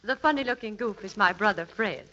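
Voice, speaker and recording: An actress playing a poised, genteel lady friend who teases gently in 1940s radio comedy. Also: medium pitch, animated, fairly quick. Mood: gentle, amused. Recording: muffled vintage radio recording, faint noise.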